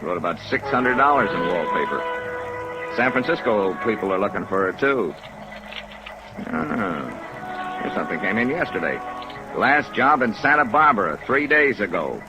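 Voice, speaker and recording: A man's voice: sing-song, unhurried, music in the background. A man playing an offhand, slangy American police sergeant in a 1950s radio drama, speaking tersely. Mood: matter-of-fact. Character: matter-of-fact, slangy male police sergeant. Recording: noisy old radio recording with background rumble.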